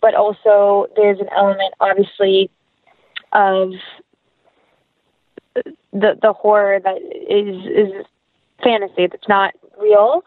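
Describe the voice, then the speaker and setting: A woman's voice: medium pitch, little pitch movement, takes it slowly, pauses often, no echo, narrow, tinny audio. A woman speaking as an actress being interviewed, chatting casually and animatedly with quoted asides, over a thin remote call.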